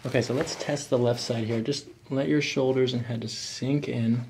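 A man in his thirties, a chiropractor, calmly giving coaxing hands-on instructions to a patient. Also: medium pitch, moderate pace, a little hiss or hum. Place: small office, indoors. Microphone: microphone on boom stand.

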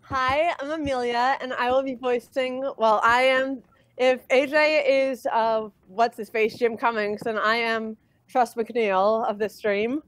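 A woman's voice: a little high, fairly even intonation, measured pace, no echo. A woman voice actor chatting casually on a livestream. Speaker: amateur voice actor in a cast.

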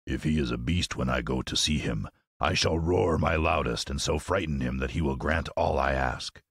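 A man's voice: rumbling low, normal speed, fairly bright tone, slightly rough, no room sound, voice pushed down, exaggerated voice. A man voicing a cowardly lion who talks big but is timid at heart, in a storybook reading. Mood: boastful, hearty.

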